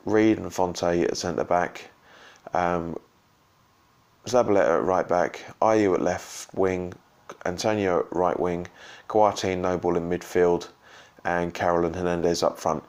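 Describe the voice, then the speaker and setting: A man with a slightly croaky voice giving a rambling, casual post-match reaction as a football fan vlogger. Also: deep voice, fairly even intonation, measured pace, a little mellow. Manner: rambling, full of ums and restarts. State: slightly croaky from shouting and drinks.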